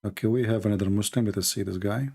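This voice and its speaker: Gravelly mellow man hosting a live call-in. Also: on the low side, steady tone, fast talker. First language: not English. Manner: teasing, provocative questioning. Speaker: live stream host taking calls. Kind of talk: unscripted live call-in debate.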